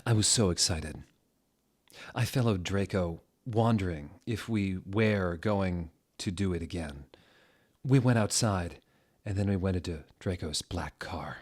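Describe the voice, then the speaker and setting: A man giving a mock-dramatic reading aloud, with deadpan, exasperated asides. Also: deep voice, unhurried, husky. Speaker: narrator doing a dramatic reading.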